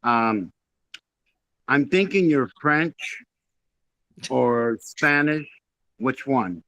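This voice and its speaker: A man's voice: medium pitch, animated, drawn-out words, a bit bright, poor audio. A man chatting casually and boastfully on a video call as a musician guest, rambling as he goes. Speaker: guest, rock musician friend of the band. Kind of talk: casual chat.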